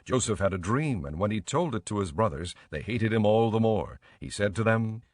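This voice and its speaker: A man narrates scripture from a script. His voice sits fairly low, and the studio sound is dry and close, with no room around it. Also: expressive, normal speed, no room sound.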